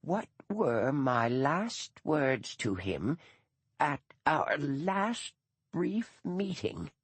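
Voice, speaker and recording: A man's voice: a little high, very animated, breathy edge, no room sound, pitched above the performer's own voice, heavily acted. A man doing a dour old schoolteacher lady. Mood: stern. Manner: prim, precise diction, slow and frowning. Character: stern elderly retired schoolteacher woman, dour.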